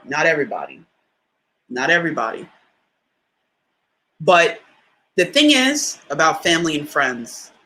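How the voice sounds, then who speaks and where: A woman with a deep voice giving a conversational motivational talk, stressing key lines by repeating them and often checking in with the listener. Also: pushed and bright, rough recording. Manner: emphatic, repeats key phrases for effect; conversational, often asks "you know what I mean?". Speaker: motivational speaker.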